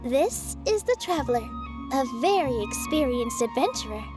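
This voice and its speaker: A modest young dancer in a game, high light voice, soft and polite, game music behind. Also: female voice, big pitch swings, normal speed. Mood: gentle. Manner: soft-spoken, polite and self-effacing. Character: gentle young female dancer, kind and modest. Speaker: game character.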